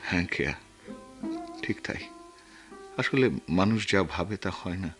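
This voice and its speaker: Deep-voiced brooding war veteran. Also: male voice, expressive, music in the background. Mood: brooding, troubled. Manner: earnest, halting, reflective. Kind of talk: Bengali radio drama acting.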